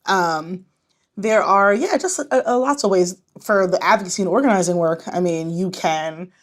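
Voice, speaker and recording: A woman's voice: on the low side, moderate pace. Casual, conversational woman as podcast guest. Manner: informal, conversational. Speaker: podcast guest, nonprofit advocacy director. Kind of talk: casual interview chat.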